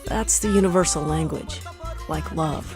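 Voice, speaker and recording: A woman radio reporter narrating a news feature in a measured, even way. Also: deep voice. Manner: measured, even storytelling delivery. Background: band music under the voice.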